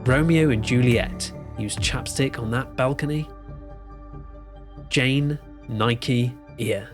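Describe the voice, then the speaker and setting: A man's voice: medium pitch, slow, over music, close to an everyday voice. A man playing a smug, visionary ad man in an audio drama, talking like a grandiose salesman. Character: smug, visionary male ad man pitching time travel.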